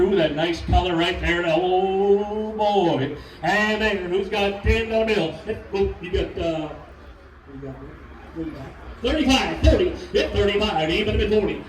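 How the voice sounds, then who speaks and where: A man working as an auctioneer, calling bids in a rapid, rhythmic sing-song chant through a PA at an auction event. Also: high voice. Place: large hall at an auction event. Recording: roomy hall sound from far off, music behind.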